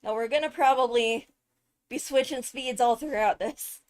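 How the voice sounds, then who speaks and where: A woman giving casual, analytical commentary on a video, animated and emphatic on key words. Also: on the high side, normal speed. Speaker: video host, game lore commentator. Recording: background music behind the voice. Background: music.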